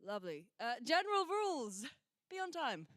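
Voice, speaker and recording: A woman presenting ground rules at a meeting in a relaxed, friendly way with casual asides. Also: high voice, normal speed, no room sound, studio quality.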